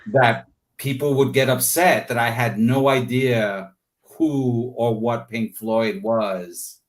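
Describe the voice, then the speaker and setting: A man's voice: mid-range voice, fairly even intonation, slow. A man talking casually as an interview guest, thinking aloud in long, rambling asides.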